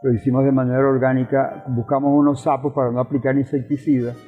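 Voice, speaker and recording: Older Venezuelan man, husky, echoing thin recording. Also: mid-range voice, level tone, fast talker. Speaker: interview guest, professor and politician. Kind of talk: conversational interview, reminiscing and explaining. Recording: roomy reverb, thin phone-like sound, faint music.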